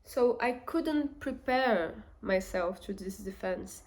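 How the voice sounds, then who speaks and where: A woman's voice: medium pitch, lively intonation, slow, darkish tone. A young woman with a Portuguese accent, talking casually to camera as a vlogger, thinking aloud with fillers and restarts. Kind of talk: casual reflective talk to camera.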